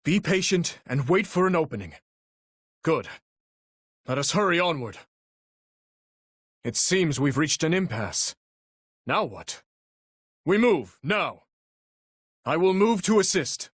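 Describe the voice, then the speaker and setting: A noble young knight and commander from a video game, earnest and resolute. His voice sits a little high and moves widely in pitch, while his phrasing stays formal and unhurried. He sounds solemn and dutiful, like a leader addressing his troops. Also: big pitch swings, a bit bright, husky, no room sound, studio quality, exaggerated voice. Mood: focused, commanding. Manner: formal, measured, earnest delivery. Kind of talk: dramatic video game voice acting.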